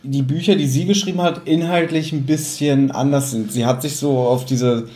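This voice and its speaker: A man's voice: mid-range voice, steady tone, normal speed, mellow, reverberant. A man with a German accent hosting a casual podcast, explaining background information in an informative way.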